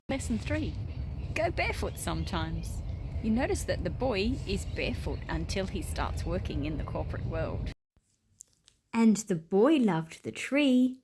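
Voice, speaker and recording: Expressive, high-pitched woman reading a storybook. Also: husky. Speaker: storybook reader and host. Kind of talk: children's read-aloud narration with asides.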